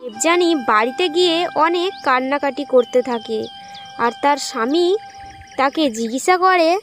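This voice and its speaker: A woman's voice: sits high, lively intonation, measured pace, fairly bright tone, faint music behind. A woman narrating a scripted cartoon story.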